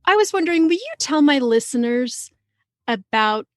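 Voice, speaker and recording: A woman's voice: sits high, sing-song, normal speed, dry recording, clean studio sound. A woman hosting a book podcast, chatty and upbeat, reading a scripted welcome before she starts interviewing her guest. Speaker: podcast host.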